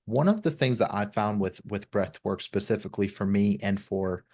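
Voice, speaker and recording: A man's voice: lowish voice, moderate pace, darkish tone. Man, podcast host, reflective, conversational, checks in often. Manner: reflective, often adds "right?". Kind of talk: casual conversation.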